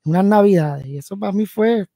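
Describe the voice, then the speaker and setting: Soft-voiced man chatting over a video call. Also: sits high, a little fast, vocal fry, no echo, studio quality. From New Haven, Connecticut, USA. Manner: rambling, thinks aloud with many fillers. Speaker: guest musician and producer. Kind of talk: casual interview chat. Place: home music studio. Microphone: headset and video call.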